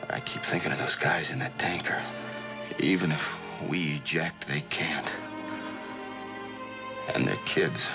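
An actor playing a troubled, self-doubting young Air Force pilot, earnest and brooding, in dramatic radio style. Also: male voice, a bass voice, a little slow, pauses often. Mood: brooding, anguished. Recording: old radio drama with music underneath.

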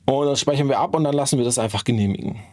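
A hoarse man in his forties giving a chatty screen-share tutorial from a small home studio, tagging sentences with "ne?". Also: mid-range voice, racing, darkish tone, no echo. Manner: chatty, frequent "ähm" and "ne?" tags. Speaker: online marketing YouTuber.

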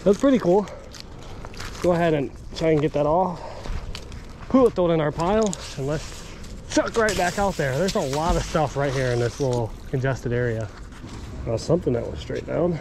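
A man with a slightly raised pitch narrates his finds in a casual, upbeat way as he fishes by a creek. The outdoor recording from an action camera carries clear background noise. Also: normal speed, pauses often. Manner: upbeat running commentary on finds. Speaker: magnet fishing host. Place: outdoors by a creek under a bridge.

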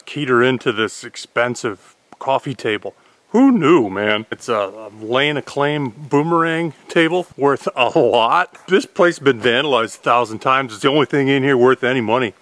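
A middle-aged man talks through his work in an easygoing, wry way, like a casual vlog. His voice sits at an ordinary pitch and is picked up by a camera mic outdoors in a pine forest. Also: a bit bright, no echo. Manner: easygoing, wry, matter-of-fact. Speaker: vlogger.

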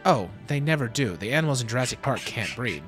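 A man's voice: mid-range voice, very animated, fast, a bit bright, faint music behind. A man playing an earnest, proud doctor of genetics who explains things matter-of-factly in an audio drama. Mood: confident. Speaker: voice actor in a fan audio drama.

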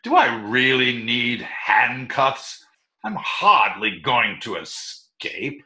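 An actor playing a pompous, indignant jailed swindler in a radio mystery, with flustered, mock-formal diction. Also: male voice, medium pitch, very animated, slow, pushed and bright, exaggerated voice. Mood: haughty annoyance. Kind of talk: old-time radio play acting. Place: small home room, video call. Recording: roomy video call with faint noise.

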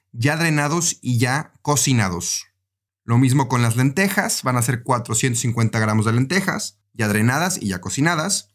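Mexican man narrating a recipe casually. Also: mid-range voice. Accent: Mexican Spanish. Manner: informal, conversational, addressing the viewer directly. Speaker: host of a cooking channel.

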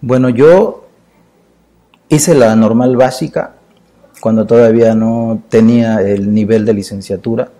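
A Mexican man in his fifties, a poet and chronicler, speaking in a measured, earnest way as an interview guest in a dark studio. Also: on the low side, steady tone. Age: 50s. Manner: measured, earnest, lists ideas in phrases. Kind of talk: reflective interview talk.

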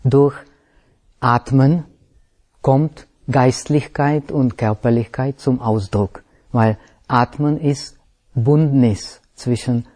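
A man teaching Buddhist meditation in German, which is not his first language, pausing often and correcting himself as he searches for words. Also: medium pitch, warm tone. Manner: halting, searching for words, many pauses and self-corrections. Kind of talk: slow explanatory dharma talk.

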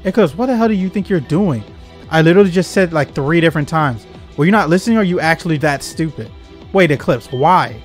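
A man's voice: on the high side, expressive, fast talker, natural voice. A man voicing an indignant, loyal young male villain grunt from a video game, speaking earnestly. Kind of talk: voiced reading of game dialogue. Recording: game music behind.

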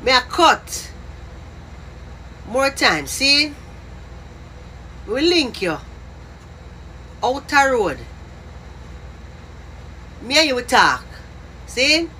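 Male, high and sharp, animated slang demonstrator with drawn-out exclamations. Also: a little hiss or hum, poor audio.

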